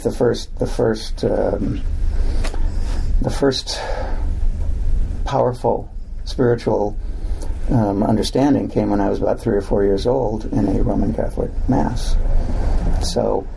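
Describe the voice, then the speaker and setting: A male radio host interviewing an author, speaking haltingly with many fillers and restarts. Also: lowish voice, vocal fry, warm tone, a little hiss or hum, poor audio. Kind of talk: slow, halting interview talk. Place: bookstore talk.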